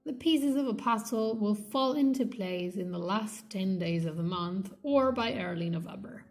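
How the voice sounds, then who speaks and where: A woman reading an academic textbook aloud in a measured, slightly halting way. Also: medium pitch, faint music behind. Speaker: reader of a linguistics textbook. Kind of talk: read-aloud textbook narration.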